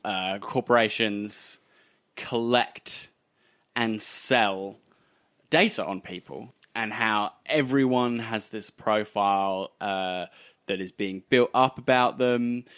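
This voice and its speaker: A man's voice: on the low side, fairly bright tone, clean tone, dry recording, clean studio sound. A man working as game master, narrating a tabletop roleplay scene in a casual style.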